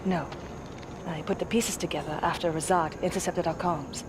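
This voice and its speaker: An actress playing a perceptive soldier in a video game, asking direct, probing questions. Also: female voice, on the low side, steady tone, normal speed, background noise, close to an everyday voice. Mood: probing, low-key. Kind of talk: video game cutscene dialogue.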